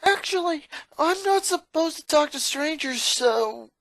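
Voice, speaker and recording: Man acting a young cartoon schoolboy, high bright voice, whiny, exasperated, anxious, story reading. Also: animated, unhurried, vocal fry, dry recording, exaggerated voice. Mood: nervous. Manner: whiny, stammering when nervous. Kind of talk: dramatic fan fiction reading.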